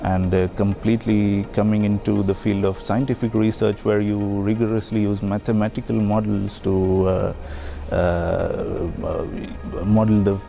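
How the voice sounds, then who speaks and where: A man from India, a doctoral researcher, giving recorded interview answers in a measured way with many pauses and fillers. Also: on the low side, level tone, vocal fry, dark tone, soft background music. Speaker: PhD researcher.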